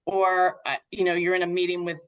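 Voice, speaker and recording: A woman's voice: fairly low pitch, monotone, brisk, a bit bright, clean tone, rough recording. A North American woman speaking as an interview guest, reflecting candidly and informally as she thinks aloud. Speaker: patient advocate guest.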